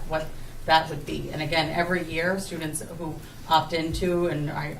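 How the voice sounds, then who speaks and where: A woman school administrator with a loud voice, answering questions at a public meeting in long, looping explanations. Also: deep voice, slight background noise. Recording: roomy, distant meeting room mic.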